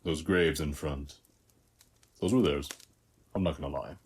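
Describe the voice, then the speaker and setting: An actor playing a calm, hospitable warrior who guards a seized temple, speaking in an unhurried, measured way in an audio roleplay. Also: male voice, extremely low pitch, a little hiss or hum. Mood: solemn, frank. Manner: unhurried, measured, with long pauses between short phrases. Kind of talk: scripted audio roleplay, speaking softly to the listener.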